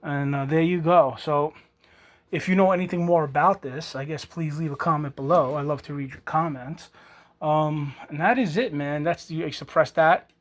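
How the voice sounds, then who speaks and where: A man's voice: fairly high pitch, moderate pace. A man, casually showing an old item and rambling with many restarts. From New York. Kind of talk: casual show-and-tell.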